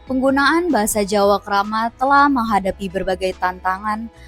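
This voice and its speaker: A woman with a medium-pitched voice narrates a documentary from a script. Faint music plays underneath. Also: moderate pace, a bit bright.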